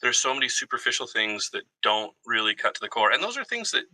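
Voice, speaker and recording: Bright-voiced man in his forties. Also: medium pitch, very animated, fast, dry recording, low-quality recording. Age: 40s. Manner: self-deprecating, joking. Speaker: guest, Army veteran. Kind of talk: casual reflective conversation.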